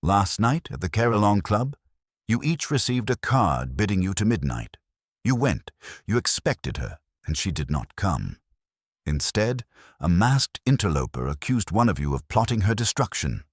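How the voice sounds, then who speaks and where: A man voicing a stern, pedantic detective genius in an audiobook, with slow, formal, commanding speech. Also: on the low side, big pitch swings, slightly rough, dry recording, clean studio sound, pitched above the performer's own voice. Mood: stern, accusing. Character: imperious, calm, stern male detective genius, pedantic and unyielding.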